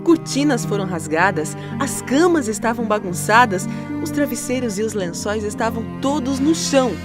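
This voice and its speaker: High, animated woman storyteller. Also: moderate pace. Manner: expressive, dramatic storytelling. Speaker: children's story narrator. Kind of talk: scripted storybook narration. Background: music.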